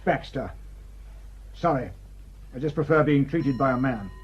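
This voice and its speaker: A British actor playing a proud, prickly detective superintendent in a radio drama, curt and dryly sardonic. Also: male voice, mid-range voice, expressive, unhurried, muffled recording, slight background noise, close to an everyday voice. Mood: prickly, embarrassed.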